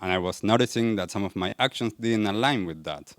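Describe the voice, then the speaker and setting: Argentine man giving a dry, low talk. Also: fairly quick, no echo. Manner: earnest, asks the audience questions, light laughs. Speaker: wellness coach and speaker. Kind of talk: live talk to an audience. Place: event venue in front of a live audience.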